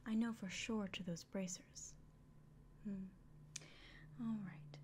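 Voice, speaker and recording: A woman playing a kindly, fussing innkeeper in a fantasy ASMR roleplay, whispering gently and murmuring to the listener. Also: mid-range voice, fairly even intonation, slow pace, slight background noise, lightly acted. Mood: attentive, fussing.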